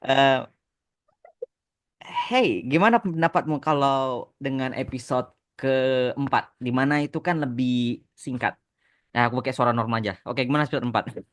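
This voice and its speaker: A man's voice: medium pitch, moderate pace, a bit bright. A man hosting a casual podcast chat, mixing English phrases into his talk. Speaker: podcast host and animation creator answering fan questions.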